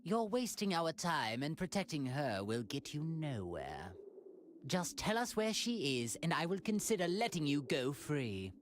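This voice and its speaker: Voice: mid-range voice, big pitch swings, unhurried, a little hiss or hum. An actor voicing a cold, haughty alien tyrant in an anime video game, with formal, condescending and politely menacing phrasing. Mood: haughty menace.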